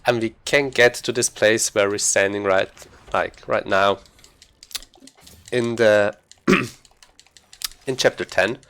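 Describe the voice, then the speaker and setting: A man giving casual live commentary on his own video game run, thinking aloud in a halting way. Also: lowish voice, steady tone, fairly bright tone, smooth. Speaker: speedrunner commentating own gameplay.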